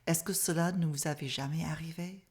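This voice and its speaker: A middle-aged woman from Canada, a native English speaker with an accent, calmly teaching a mindfulness masterclass in a measured, soft-spoken way. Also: low voice, slightly rough. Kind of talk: online masterclass presentation.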